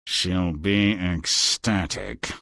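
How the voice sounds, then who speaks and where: An actor voicing a haughty, scheming, cruel king in a fairy tale game, with pompous, menacing, drawn-out delivery. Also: sits low, bright, forceful tone, no room sound, heavily acted. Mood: smug, menacing.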